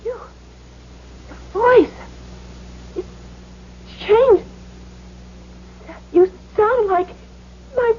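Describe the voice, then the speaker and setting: A spirited young wife with a very high, emotional voice. She speaks slowly and earnestly in a dull-sounding vintage radio drama. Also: exaggerated voice. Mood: frightened pleading. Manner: emotional, pleading, emphatic. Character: decent, spirited young married woman, earnest. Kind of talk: old-time radio drama acting. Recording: dull lo-fi old radio recording.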